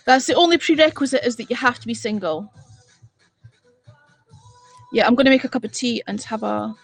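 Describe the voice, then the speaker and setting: A woman's voice: fairly high pitch, a little fast, creaky, slightly rough, faint music behind. A woman from Edinburgh with a Scottish accent, hosting a casual live stream and chatting bluntly and exasperatedly with viewers, swearing freely. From Scotland. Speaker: livestream host.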